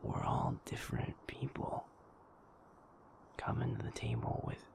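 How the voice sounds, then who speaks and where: A man playing a gentle, patient werewolf in an intimate audio roleplay, soothing and reassuring in a halting, breathy, creaky whisper. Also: lightly acted. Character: gentle, patient male werewolf comforting a sleepless partner.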